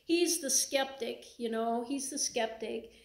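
Soft-voiced woman pastor in echoing church. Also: a little high, a little slow, gravelly. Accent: Upper Midwest American. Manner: conversational, gestures while preaching seated. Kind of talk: sermon. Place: small church sanctuary, indoors. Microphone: fixed camera in front. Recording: echoey room, faint hiss.

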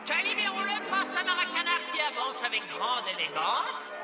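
A man playing an excitable football commentator in a cartoon. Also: extremely high pitch, normal speed, echoey room. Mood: excited. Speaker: match commentator. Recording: distant, music and crowd behind.

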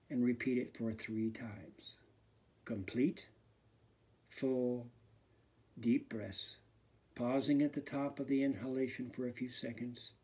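Male meditation instructor, very slow and hushed, breathy, soothing delivery. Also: medium pitch. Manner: slow, soft and soothing, often sinking to a near whisper. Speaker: fitness instructor. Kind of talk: guided meditation.

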